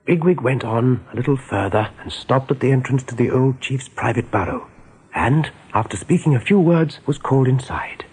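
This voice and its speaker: A man narrating a radio drama in a measured, scripted storytelling style. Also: medium pitch, expressive, darkish tone, slightly rough, poor audio.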